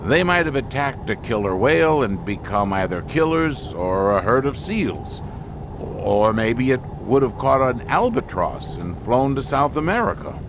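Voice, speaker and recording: An actor playing a grave expedition scientist in an audio drama, speaking deliberately with weighted, halting pauses. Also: male voice, mid-range voice, sing-song, noisy background. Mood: grim, foreboding. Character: grave male expedition scientist explaining an alien menace.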